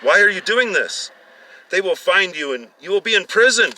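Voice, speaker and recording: Guy playing a scared hostage in a story, voice pushed way up, loud n urgent, bit off mic. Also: lively intonation, moderate pace, no echo, far from the mic, heavily acted, low-quality recording. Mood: panicked. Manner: loud, urgent, high-strung. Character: frightened captives pleading with their killer.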